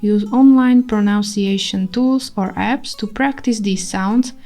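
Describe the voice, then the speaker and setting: A woman's voice: medium pitch, measured pace, dark tone, soft background music. A woman with a Slovak accent teaching a scripted language lesson, reading carefully and repeating example sentences.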